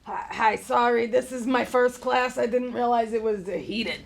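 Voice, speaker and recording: Woman playing a gravelly, awkward middle-aged divorced guy. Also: a little high, moderate pace, slight background noise. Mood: awkward, nervous. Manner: hesitant, stammering, rambling. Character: awkward, kindhearted divorced male bar owner, fifties. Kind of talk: live comedy table read. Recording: live stage reading before an audience.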